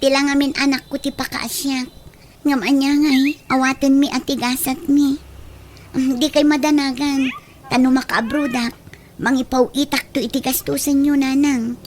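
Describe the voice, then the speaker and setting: A woman's voice: sits high, level tone, normal speed, a little mellow, a little hiss or hum, lightly acted. An actress playing an earnest, determined young mother in a radio drama, pleading with a Filipino accent. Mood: resigned, determined.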